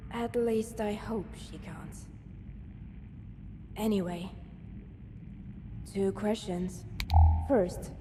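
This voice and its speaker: A woman playing a guarded, wary young scavenger in a video game, speaking in a measured, dry way. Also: mid-range voice. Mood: guarded, uneasy. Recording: long reverb, clear background noise.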